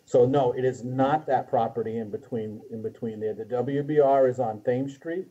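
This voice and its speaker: A man in his fifties speaking haltingly as a city official explaining things in a meeting, often trailing off. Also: medium pitch, mellow, husky. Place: small office with hard ceiling, indoors. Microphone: video call. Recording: dull lo-fi video call audio.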